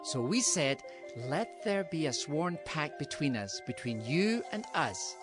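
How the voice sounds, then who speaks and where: An actor playing a diplomatic visiting ruler, formal and persuasive, in a dramatized scripture recording. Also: male voice, a little high, sing-song, measured pace, music in the background. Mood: conciliatory. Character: diplomatic visiting ruler seeking a peace pact.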